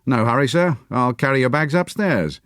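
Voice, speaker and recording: A man's voice: medium pitch, animated, moderate pace, fairly bright tone, no room sound, clean studio sound, voice pushed up, lightly acted. A man voicing a deferential but stubborn British army corporal. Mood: dutiful.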